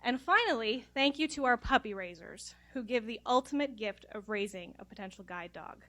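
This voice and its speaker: A woman giving a formal, measured speech of thanks at a graduation ceremony. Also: on the high side, expressive, fairly bright tone. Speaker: regional manager of a puppy program. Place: ceremony room.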